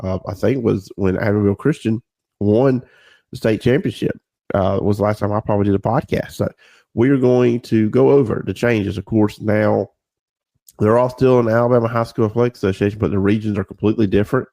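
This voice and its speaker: A man hosts a casual sports podcast in a lowish, gravelly voice. He sounds slightly nasal and congested from a cold. His delivery is informal and conversational, recorded dry and close. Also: steady tone, fairly quick, dark tone, dry recording. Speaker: podcast host.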